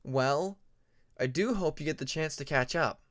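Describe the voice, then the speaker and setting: A man's voice: fairly high pitch, unhurried, fairly bright tone. A man voicing an earnest, friendly young male dragon student in a storybook reading, upbeat and encouraging. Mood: gentle.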